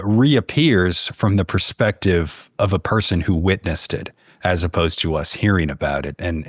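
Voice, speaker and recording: A man from the United States talks casually as a guest, in storytelling mode. His voice is deep and recorded dry. He hesitates and searches for words as he goes. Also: no echo. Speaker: podcast guest, horror fiction writer.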